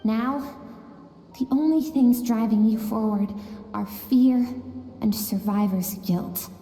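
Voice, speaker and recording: An earnest young girl in a game cutscene, speaking softly and pleadingly in a high, mellow voice. Her unhurried words ring through an echoey, reverberant space with a long tail. Soft music plays underneath. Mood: tender. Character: earnest gentle young girl, inner self. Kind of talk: video game cutscene dialogue.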